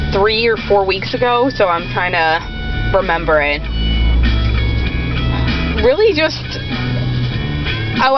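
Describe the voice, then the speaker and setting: A young adult woman giving a casual, unscripted review in a rambling, opinionated, conversational way while driving a car. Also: medium pitch. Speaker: vlogger reviewing TV episodes. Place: inside a moving car, daytime. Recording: dull lo-fi car recording, loud background music.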